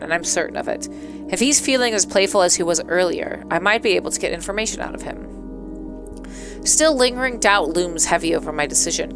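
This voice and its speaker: Woman, mid-pitched voice, gaming streamer, playful and dramatic, reading aloud with casual asides, prominent background music. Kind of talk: casual let's play, reading visual novel aloud with asides. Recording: music behind the voice. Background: game music.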